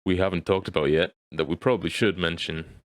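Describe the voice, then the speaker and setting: A man's voice: sits low, steady tone, fairly quick, no echo. A man giving his opinions in a casual, blunt way as a podcast host. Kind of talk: casual opinionated group chat.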